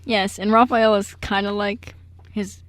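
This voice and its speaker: A woman's voice: medium pitch, little pitch movement, measured pace. A young woman co-hosting a radio show in a casual, giggly, informal way. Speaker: radio show host and script writer. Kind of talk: casual chat. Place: radio studio.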